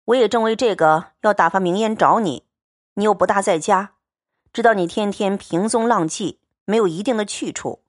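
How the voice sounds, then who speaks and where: A woman voicing a gentle, emotional young nobleman who speaks softly and pleadingly. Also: medium pitch, moderate pace, dry recording, clean studio sound, close to an everyday voice. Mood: earnest, pleading.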